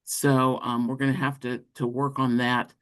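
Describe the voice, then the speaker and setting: A man giving an informal walkthrough of slides on a work call, speaking haltingly and often correcting himself. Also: medium pitch, level tone, a bit bright. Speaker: presenter on an educators' call.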